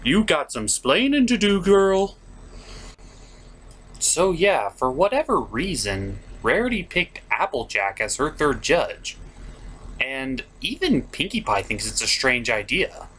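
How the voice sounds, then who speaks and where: A man's voice: fairly high pitch, sing-song, slow pace, a bit bright, breathy edge. A man hosting a comedic cartoon review, partly scripted and partly ad-libbed, with a sarcastic, theatrical delivery. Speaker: host of a cartoon review show.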